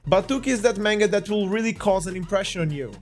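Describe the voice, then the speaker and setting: A man's voice: high-pitched, quick pace. A man with a Brazilian accent narrating a scripted review with enthusiasm and playful, mock-dramatic asides. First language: Portuguese. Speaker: manga review host. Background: faint background music.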